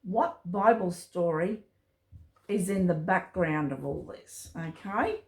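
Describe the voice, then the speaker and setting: Children's storyteller, female, lowish voice, very unhurried read-aloud delivery, echoey room. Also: animated, darkish tone. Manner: simple, deliberate, addressing children. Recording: roomy, echoey home recording.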